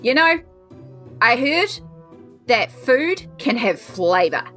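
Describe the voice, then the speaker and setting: A woman playing an excitable, tipsy adventurer, Celeste. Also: a little high, slow pace, soft background music, voice pushed up, full character voice. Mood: excited, tipsy. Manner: blunt, excitable.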